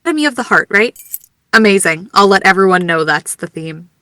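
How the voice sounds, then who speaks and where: Woman acting chatty woman, bright close voice. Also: mid-range voice, expressive, a little fast, no room sound. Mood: teasing. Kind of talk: naturalistic fiction podcast acting.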